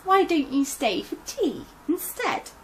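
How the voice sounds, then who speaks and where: Woman voicing loud, cheeky little piglets. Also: high voice, very animated, takes it slowly, a little hiss or hum, voice pushed up, heavily acted. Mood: cheerful. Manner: loud, bossy and mocking. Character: cheeky young piglets, suspicious and bold.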